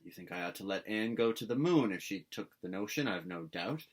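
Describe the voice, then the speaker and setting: A man voicing a stern older woman guardian in a storybook, brisk, tart and scolding. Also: fairly low pitch, animated. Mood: irritated.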